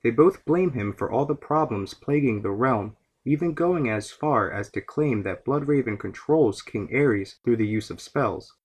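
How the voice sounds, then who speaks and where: Male explainer host, average pitch, a little rough-edged, scripted and expository fantasy lore narration. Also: expressive, unhurried, hardly any pauses, a little mellow. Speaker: podcast host.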